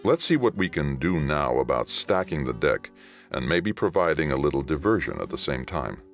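A man voicing a calm, resolute veteran soldier in audiobook dialogue, measured and decisive. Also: very deep voice, faint music behind, exaggerated voice. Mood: quiet, decisive.

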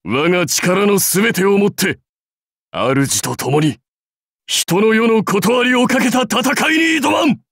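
An actor playing a proud, battle-hungry samurai warlord in a game, with grand, archaic, declamatory speech. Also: male voice, fairly low pitch, takes it slowly, no room sound, clean studio sound. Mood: resolute, rousing. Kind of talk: anime game voice lines.

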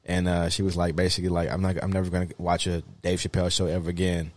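A man co-hosting a podcast in casual chat, talking in an informal, halting, rambling way. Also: sits low, monotone, darkish tone, dry recording. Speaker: podcast co-host.

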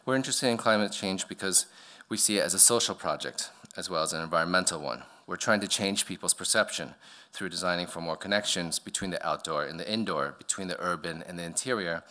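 A middle-aged man with a very low, bright-edged voice lectures at a podium mic in a darkened auditorium. He speaks with frequent pauses, ums and restarts as he walks through his slides. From born in Vietnam, raised in the US. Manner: hesitant, many fillers and restarts. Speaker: architect giving a talk. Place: dark auditorium stage at a podium.

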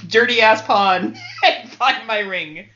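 A woman's voice: fairly high pitch, takes it slowly, bright, forceful tone. A woman chatting casually on a podcast in a teasing, jokey, giggly way. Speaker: podcast speaker. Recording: lo-fi audio with background noise, clicks.

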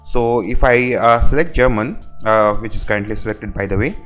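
A man from India whose first language is Hindi, talking through a coding tutorial over his screen and filling his sentences with pauses and restarts. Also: fairly low pitch, a bit bright, faint music behind. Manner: halting, many "uh" fillers and restarts. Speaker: web developer.

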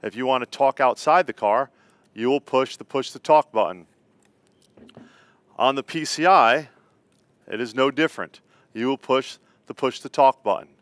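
A middle-aged man with an American accent gives a plain-spoken product tutorial. His voice is smooth and clean, recorded dry and close in a studio. He lays out each step in order. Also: medium pitch, normal speed, pauses often, a bit bright, no room sound. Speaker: company co-founder presenting product tutorial. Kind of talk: instructional product walkthrough. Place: indoor studio set.

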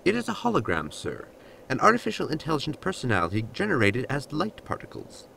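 A man's voice: medium pitch, wildly swooping pitch, fast, vocal fry, bright, forceful tone, a little hiss or hum, heavily acted, low-quality recording. A man playing a blunt, literal android servant in a sci-fi comedy audio drama, formal and matter-of-fact. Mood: matter-of-fact explaining.

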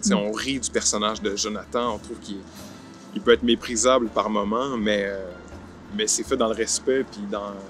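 A man's voice: on the low side, fairly even intonation, moderate pace. A man, casually answering interview questions outdoors. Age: 20s. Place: outdoor park film set. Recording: soft music bed under the voice.

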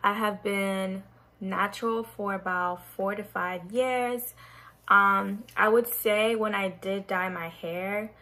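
A woman's voice: mid-range voice, steady tone, takes it slowly, pushed and bright. Young woman chatting to camera. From Ghana. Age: young adult. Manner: chatty and earnest, with loose restarts. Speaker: vlogger. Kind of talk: casual introductory vlog. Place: bedroom, indoors. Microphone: camera in front at close range.

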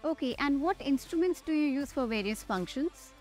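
A woman's voice: sits high, expressive, normal speed, a little mellow. A young woman with an Indian accent, a pilot hosting a show in a scripted presenting style. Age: young adult. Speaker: host, airline pilot. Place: large glass-walled airport tower room. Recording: music under the voice. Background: background music.

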